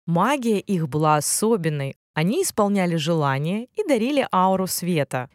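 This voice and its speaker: A woman narrating a children's fairy tale in an expressive storytelling style. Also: on the low side, normal speed, dry recording, studio quality.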